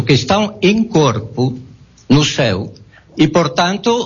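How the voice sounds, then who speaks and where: A man's voice: a little high, slightly rough. A man speaking Portuguese as a non-native, asking a careful and perplexed question from the audience at a public debate. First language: not Portuguese. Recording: dull lo-fi recording.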